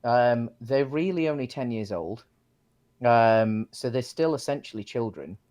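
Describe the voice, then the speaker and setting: A man co-hosts a casual film chat at a middling pitch. He reasons aloud thoughtfully, hesitating and circling round his phrases as he goes. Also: expressive, measured pace, darkish tone. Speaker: co-host of a film discussion show.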